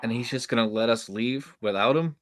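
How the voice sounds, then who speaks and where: A man's voice: mid-range voice, fairly quick, heavy background noise, close to an everyday voice. A man playing an uneasy, insistent young camp counselor in a horror script table read, with casual, hesitant delivery.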